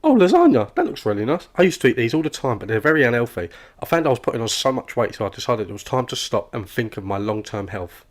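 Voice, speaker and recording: An actor playing an oblivious, relentlessly chatty office worker in an animated comedy, with a rambling, oversharing manner. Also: male voice, mid-range voice, fast talker, hardly any pauses, close to an everyday voice. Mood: cheerful boasting. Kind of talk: comic animated dialogue.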